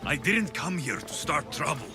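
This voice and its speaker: An actor playing a bold, impatient young Greek mercenary in a video game drama, with a brash, wry delivery. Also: male voice, fairly high pitch, big pitch swings, normal speed, over music. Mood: wry, reluctant.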